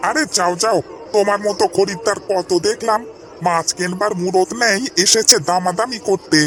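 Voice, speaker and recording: Man playing a high-voiced, grumbling village fisherman. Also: steady tone, moderate pace, fairly bright tone, faint music behind. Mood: scornful. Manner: sarcastic, complaining, haggling. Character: grumbling, sarcastic village fisherman, a father and fish seller. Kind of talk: Bengali cartoon dialogue.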